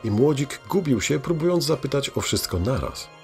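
A man's voice: medium pitch, animated, normal speed, gravelly. A man narrating a scripted fantasy audio drama as its storyteller. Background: music behind the voice.

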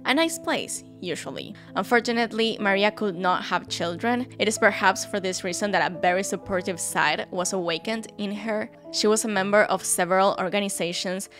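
A woman's voice: medium pitch, moderate pace, few pauses, fairly bright tone. A young woman with an Argentine Spanish accent, Spanish her first language, narrates a true crime case in a casual, chatty way, often turning to the viewer with little asides. She talks into a studio mic on a stand in a room at home, with faint music underneath.

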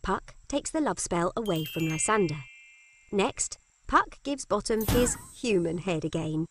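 A woman narrating a children's story in simple, clearly scripted lines with expressive delivery. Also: mid-range voice, unhurried. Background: music.

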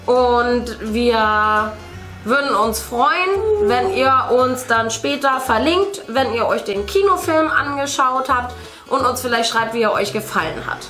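Woman in her 30s, fairly high voice, casual kids' channel host, explaining to viewers, roomy indoor sound. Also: expressive, a little slow. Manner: speaks to children, addressing viewers directly. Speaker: host of a family children's video channel. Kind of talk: casual chat, explaining to viewers while painting. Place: indoor room at a table. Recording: roomy sound, faint music. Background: music.

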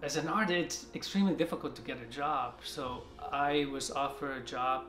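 A man in his forties from China, talking in a soft, halting way with many restarts during a seated interview in an art studio. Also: mid-range voice. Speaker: artist. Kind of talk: reflective interview talk. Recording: roomy studio sound with music behind.

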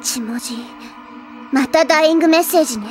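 Woman doing anime schoolgirl detective, high voice, bossy n sure of herself, music behind. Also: brisk, a little mellow, close to an everyday voice. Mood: brisk, confident. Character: self-assured, bossy teenage schoolgirl detective. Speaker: voice actress. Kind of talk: anime audio drama acting.

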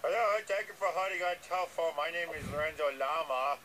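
A man's voice: on the high side, fairly quick, lightly acted. A man voicing a nervous, earnest, dim-witted llama who hesitates and repeats himself on a comic radio call. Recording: noisy re-recording of radio playback.

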